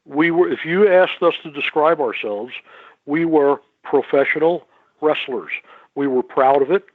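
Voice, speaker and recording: A man's voice: a little high, a little slow, dry recording. A man, a guest on a podcast panel, talks emphatically and repeats his points. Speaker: guest, former wrestler. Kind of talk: casual podcast panel chat. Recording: tinny phone-like remote line.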